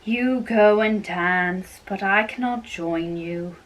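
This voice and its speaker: A woman playing a sad, lovesick young man in an amateur stage play reading, halting and plaintive, away from the mic. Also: medium pitch, recorded from a distance, close to an everyday voice, rough recording. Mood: mournful.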